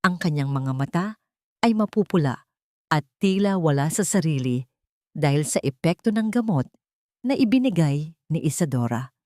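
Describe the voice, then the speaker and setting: A woman's voice: deep voice, expressive, moderate pace, long pauses, warm tone, dry recording, studio quality. A woman reading a scripted dramatic audiobook as narrator, in a solemn, suspenseful manner. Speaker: story narrator.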